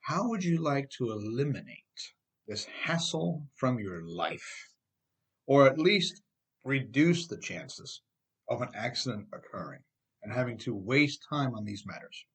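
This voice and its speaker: A middle-aged American man narrates a nonfiction audiobook as its author. His pitch sits in the middle range for a man. He reads from a script but talks straight to the listener, with emphasis and a conversational turn. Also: very animated, unhurried, fairly bright tone, breathy edge. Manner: emphatic, addresses listener with rhetorical questions. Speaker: author reading own audiobook.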